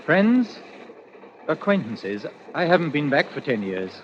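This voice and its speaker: An actor playing a secretive, menacing man in an old radio suspense play, speaking in a halting, guarded way. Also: a little high, sing-song. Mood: quietly menacing. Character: secretive, menacing male stranger posing as an actor. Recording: noisy, dull old radio recording.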